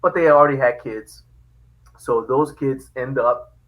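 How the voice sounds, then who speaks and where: A man from Liberia, a podcast guest telling his family history in a lively, casual way, often laughing. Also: mid-range voice, a little slow, narrow, tinny audio. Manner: animated, laughs often, quotes dialogue. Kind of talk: casual storytelling.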